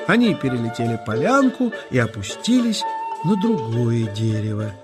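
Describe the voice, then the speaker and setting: A man narrating a scripted children's nature story as storyteller, with expressive, deliberate phrasing. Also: mid-range voice, music in the background.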